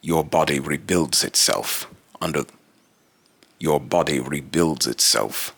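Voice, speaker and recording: Man voicing a very deep, gravelly otherworldly immortal. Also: fairly bright tone, no room sound, exaggerated voice. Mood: solemn, instructive. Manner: grandiose, archaic, halting delivery with repeated phrases. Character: solemn otherworldly immortal being with deep robotic electric voice. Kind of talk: dramatic character monologue.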